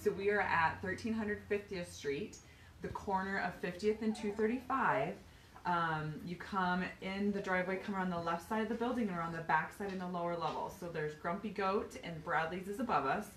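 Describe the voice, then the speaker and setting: A woman's voice: on the low side, normal speed, a bit bright, far from the mic. A woman, chatty and bubbly, giving a casual live tour of a small clinic while walking. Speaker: physical therapy clinic owner giving a tour. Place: small clinic indoors, hallways and treatment rooms. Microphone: phone camera held by another person. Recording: roomy phone audio from a few feet off, faint hum.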